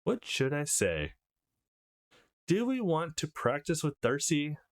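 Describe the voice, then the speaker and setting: A man hosting a let's play, reading a visual novel aloud with commentary in an animated way. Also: fairly high pitch, slow. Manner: acts out lines in an animated way.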